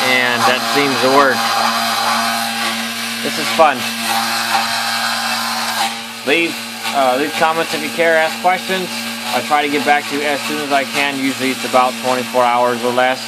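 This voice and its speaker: Man in his thirties, casual rambling talk, phone at arm's length, loud machine noise behind. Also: mid-range voice. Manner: rambling, many ums, swears casually. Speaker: maker vlogger. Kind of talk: casual workshop vlog. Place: small cluttered indoor workshop. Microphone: phone held at arm's length. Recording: noisy phone video with loud tool hum. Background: loud machine and tool noise.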